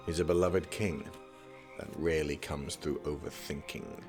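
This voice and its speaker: A man acting in an audio drama as a young scholarly prince, speaking with dry, measured, formal wit. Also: rumbling low, fairly even intonation, over music. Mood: cool, formal.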